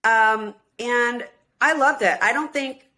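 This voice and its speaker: A woman's voice: on the high side, slow pace, bright, forceful tone. A woman in her fifties, originally from Minneapolis, chatting as a guest in an interview, laughing often and acting out quotes. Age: 50s. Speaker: guest, singer and reality show cast member. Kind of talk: casual interview chat.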